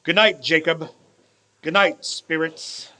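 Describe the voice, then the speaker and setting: A man's voice: fairly high pitch, fairly even intonation, takes it slowly, pushed and bright. A man playing a cranky, fearful old miser in a theatrical, muttering radio play style. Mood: muttering, doubtful. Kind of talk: stage radio play acting.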